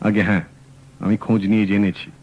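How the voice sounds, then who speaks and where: An actor playing a sharp young male private detective in a radio drama, asking brisk, probing questions. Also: fairly low pitch, little pitch movement, lightly acted. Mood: calm, probing. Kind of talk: 1970s radio drama acting. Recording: dull old radio broadcast.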